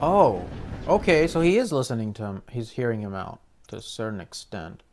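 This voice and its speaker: A man's voice: mid-range voice, sing-song, moderate pace, slight background noise. A man giving casual, spontaneous reaction commentary as a video host, informal and questioning, with some mild swearing. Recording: loud game soundtrack behind voice.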